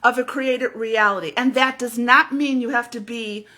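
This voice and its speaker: A woman with a loud voice giving a fervent spiritual teaching, emphatic and repeating words as she goes. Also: fairly high pitch, steady tone, moderate pace.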